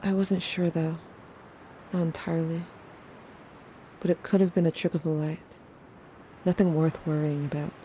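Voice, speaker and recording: A woman playing a brooding, wry young narrator who confides softly and casually in an audio drama monologue. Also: sits low, little pitch movement, normal speed, pauses often, slight background noise, natural voice, poor audio. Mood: wistful. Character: brooding young narrator, wry, wounded, questioning gender, addressing lost sibling.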